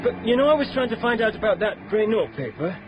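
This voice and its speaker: An actor playing an eager, chatty young police sergeant in a radio drama. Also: male voice, high-pitched, sing-song, fast, noisy background.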